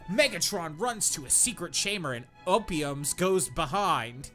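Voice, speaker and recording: A young man with a bright, crisp voice who reads with big swings in pitch, playing up every line for laughs. He hosts a comedy podcast, hamming up bad prose, with faint music under him. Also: measured pace. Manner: animated, mock-dramatic reading. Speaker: podcast co-host reading fan fiction.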